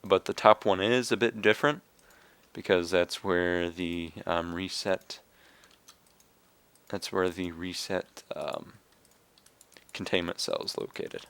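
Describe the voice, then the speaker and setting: Slow, low raspy young man. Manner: relaxed, explaining step by step with fillers. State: hoarse from coughing. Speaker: video game tutorial maker. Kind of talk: casual screen-recorded game tutorial.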